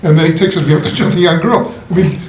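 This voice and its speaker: A man's voice: fairly high pitch, quick pace. A man giving a blunt, opinionated critique in a casual writing group discussion. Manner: blunt and opinionated, laughing at his own remarks. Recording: roomy room with faint noise.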